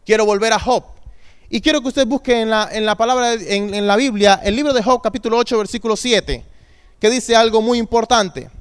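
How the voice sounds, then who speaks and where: High-voiced youth pastor preaching. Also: male voice, fairly even intonation, brisk, clean tone. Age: 30s. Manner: preaching with call-and-response, emphatic repetition. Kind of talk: sermon.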